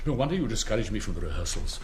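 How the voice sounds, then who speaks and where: A man's voice: lowish voice, big pitch swings, racing. An older man with an upper-class English accent, acting a pompous, indignant old headmaster in a theatrical radio drama. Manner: pompous, declamatory, exasperated.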